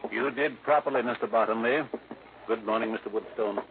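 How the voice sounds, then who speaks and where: An actor playing a stuffy, fussy furniture company manager, formal and easily scandalized, in a 1950s radio drama. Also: male voice, medium pitch, brisk, close to an everyday voice. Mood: formal, courteous. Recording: narrow, noisy old radio recording.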